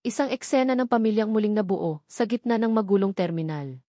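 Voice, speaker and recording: Audiobook narrator: woman, medium pitch, scripted dramatic romance delivery, very dry sound. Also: brisk, mellow, no echo.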